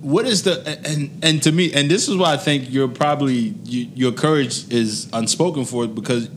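A man's voice: medium pitch, normal speed, a little hiss or hum. A man hosting a casual interview, joking and rambling with lots of restarts. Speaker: podcast host.

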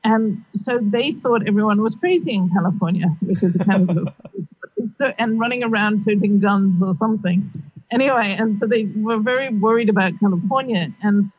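A middle-aged woman from Kenya, a university teacher, answering interview questions over a video call, thoughtful and halting, with many fillers and frequent laughs. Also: mid-range voice, a little mellow, husky, no room sound, muffled recording.

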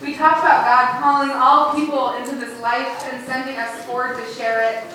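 A woman's voice: high voice, steady tone, normal speed. A woman speaking to a church congregation. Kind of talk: addressing a congregation. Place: large echoey church room. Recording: very echoey hall, distant mic, noisy.

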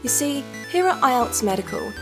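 A woman's voice: high voice, big pitch swings, normal speed. A woman announcer reading a scripted promo in a polished, persuasive delivery. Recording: voice over music bed.

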